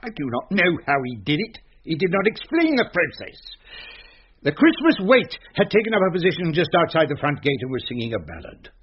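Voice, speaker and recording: An actor playing a pompous, verbose, easily irritated gentleman host who tells a ghost tale in period radio comedy style. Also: fairly high pitch, big pitch swings, brisk, breathy edge, lo-fi sound. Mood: mock-solemn, testy.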